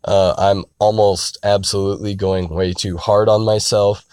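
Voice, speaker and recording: A man in his thirties, hoarse and raspy from being sick, talking candidly and rambling earnestly from inside his parked car. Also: lowish voice, level tone, measured pace, a little mellow, no echo. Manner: rambling, earnest, frequent restarts and "you know". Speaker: artist vlogger. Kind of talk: candid casual talk to camera.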